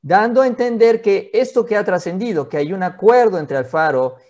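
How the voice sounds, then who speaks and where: A man's voice: high voice, animated, moderate pace, darkish tone. A Mexican man in his forties giving conversational political commentary in an explanatory, roundabout way, recorded in a small office. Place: small home office, indoors.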